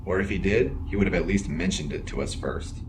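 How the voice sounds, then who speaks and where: A man's voice: low-pitched, a little fast, background noise, lightly acted. A man playing an earnest male robot security officer in an audio drama, speaking in formal, precise, literal wording. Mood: uneasy, serious.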